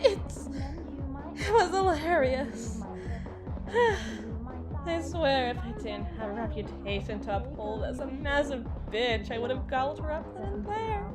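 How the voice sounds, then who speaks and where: Woman playing a drunken female boss, high voice, slow tipsy rambling, teasing and bossy, roleplay audio, music behind. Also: expressive. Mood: amused, boastful. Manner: tipsy rambling, stumbling over and repeating words. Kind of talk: ASMR roleplay acting.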